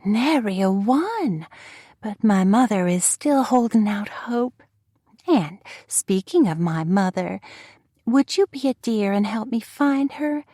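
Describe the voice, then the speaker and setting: Flirty spinster, nasal drawl, gushing, drawn-out words. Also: female voice, mid-range voice, animated, warm tone, dry recording, studio quality, pitched above the performer's own voice. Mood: coy. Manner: slow, coy and gushing.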